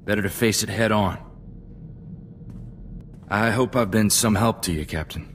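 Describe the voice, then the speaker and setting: A man's voice: on the low side, measured pace, fairly bright tone, slight background noise. A man playing an earnest, loyal young sidekick in a space-adventure game, talking in a plainspoken, folksy, reflective way. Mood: humble, sincere. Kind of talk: video game cutscene dialogue.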